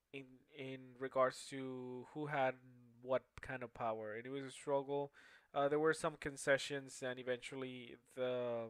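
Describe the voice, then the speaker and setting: A young man whose first language is Spanish, giving a class presentation in a halting way with many fillers and restarts. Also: mid-range voice, clear, resonant tone, dry recording. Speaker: student presenter.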